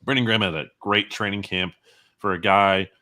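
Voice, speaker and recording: A man's voice: fairly low pitch, steady tone, moderate pace, pushed and bright. A man giving conversational, opinionated sports commentary as an interview guest, often qualifying his points. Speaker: guest football analyst.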